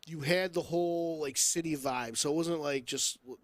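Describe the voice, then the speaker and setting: A man hosts a casual podcast discussion. His voice sits a little higher than average for a man. The sound is dry, with no echo around him. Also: normal speed. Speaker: podcast host. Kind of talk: casual chat.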